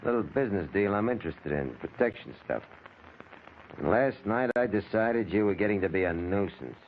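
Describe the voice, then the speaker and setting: A man's voice: lowish voice, animated, moderate pace. An actor playing a cold, confident racketeer in an old-time radio crime drama, blunt and matter-of-fact in his menace. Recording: lo-fi, noisy old radio recording.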